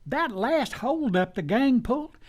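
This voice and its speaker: Male actor as loyal rustic sidekick in a radio western, very animated pitch, folksy delivery. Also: measured pace, heavily acted. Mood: worried. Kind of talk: western radio drama acting.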